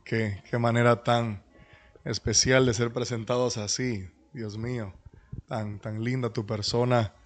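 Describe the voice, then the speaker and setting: A middle-aged man, speaking as a pastor in a humble, courteous and deliberate way to an online class. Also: medium pitch, level tone. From Dominican Republic and New York. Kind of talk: introductory talk at an online church leadership class. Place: online video call.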